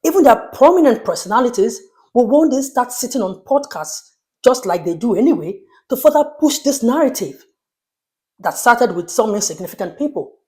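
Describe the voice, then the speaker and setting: A woman's voice: a little high, very animated, moderate pace, mellow. A woman with a Nigerian accent hosting an opinion commentary, emphatic and indignant, full of rhetorical questions. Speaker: commentary show host.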